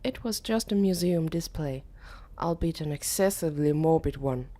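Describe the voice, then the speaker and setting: A woman voicing a curious, uneasy teenage boy who narrates an audiobook in a measured, earnest way. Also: sits low, expressive, warm tone. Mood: narrating.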